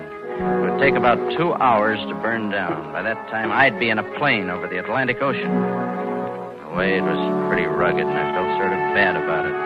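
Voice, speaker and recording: Guy acting a cold scheming crook, american, low hard-boiled voice, old muffled radio drama w loud music under it. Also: close to an everyday voice. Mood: grim, detached. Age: 30s. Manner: hard-boiled, slangy, brooding. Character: cold, scheming male embezzler narrating his own crime. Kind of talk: 1940s radio noir acting, first-person narration.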